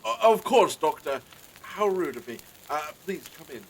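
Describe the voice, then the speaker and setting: Man as a genteel, higher-voiced gentleman householder. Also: very animated, moderate pace, creaky, a little hiss or hum, full character voice. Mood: gracious, formal. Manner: formal, apologetic, polite. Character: courteous, genteel master of a period household. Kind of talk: period audio drama acting.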